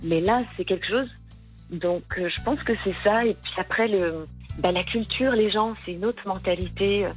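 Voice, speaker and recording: A woman with a Parisian French accent, a guest in a radio interview, talking in a relaxed way and laughing easily. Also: medium pitch, moderate pace, faint music behind, poor audio. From France.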